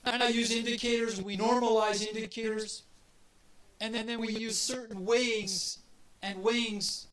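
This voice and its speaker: A man's voice: high-pitched, flat delivery, moderate pace, a crowd in the background. A man with a big voice giving an academic presentation as a guest lecturer. He explains in a loose way and often restarts his phrases, speaking into a handheld microphone in a lecture hall. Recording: echoey hall, distant mic, faint noise.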